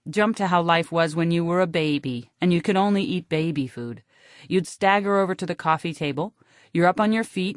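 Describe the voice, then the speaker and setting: A woman with an American accent reads a literary first-person audiobook in a wry, deadpan manner, close on the microphone. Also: fairly low pitch, no room sound. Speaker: audiobook narrator.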